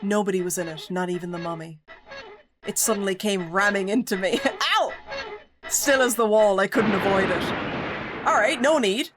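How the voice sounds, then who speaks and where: A woman streamer reading a spooky visual novel aloud, breaking into jokey, excitable reactions between calm narration, with music behind her. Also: medium pitch, moderate pace.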